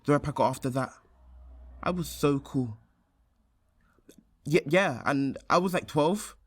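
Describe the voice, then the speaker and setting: An actor playing a cocky, boastful teenage lad with a slangy, bragging manner in a radio play. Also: male voice, a little high, a little slow, no echo. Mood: boastful, excited. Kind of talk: comic radio play monologue.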